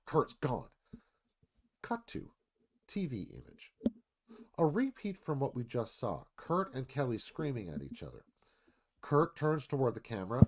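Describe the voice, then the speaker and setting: A man's voice: mid-range voice, darkish tone. A man reading screenplay stage directions aloud as scripted narration.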